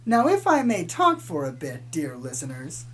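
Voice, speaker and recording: Low-voiced deadpan pony radio host played by a woman. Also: breathy edge, heavily acted. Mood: earnest, musing. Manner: measured, deadpan about sinister things. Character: calm small-town pony radio host, blithely reporting eerie doom. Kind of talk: scripted spoof community radio news narration.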